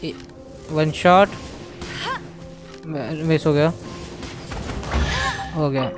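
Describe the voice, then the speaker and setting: A man's voice: on the high side, fairly even intonation, a little fast. A man with an Indian accent chatting casually as he streams a game, with music playing behind him. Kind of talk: casual Let's Play chat, mixing Hindi and English.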